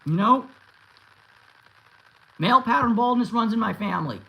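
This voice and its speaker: A man's voice: very high-pitched, lively intonation, moderate pace, vocal fry, pushed and bright, low-quality recording. A man in his thirties chatting casually on a livestream and answering viewers, rambling with many restarts and fillers. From United States. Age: 30s. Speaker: YouTube host answering live chat.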